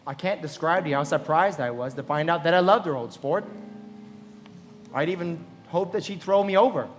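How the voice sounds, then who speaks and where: A man plays a wistful young millionaire with a slightly high voice and polished, earnest manners. He speaks in measured radio-drama phrases, with music underneath and the reverb of a hall. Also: natural voice. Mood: wistful, confiding. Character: romantic, wistful, genteel young self-made millionaire. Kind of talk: live radio play acting.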